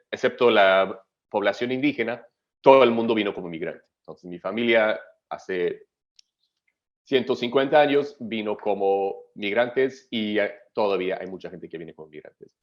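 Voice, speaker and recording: Man, low voice, muffled webinar audio. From Australia. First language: English. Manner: halting, with many fillers and self-corrections, checks in with "¿ok?". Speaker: migration agent presenting a webinar. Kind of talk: explanatory webinar presentation.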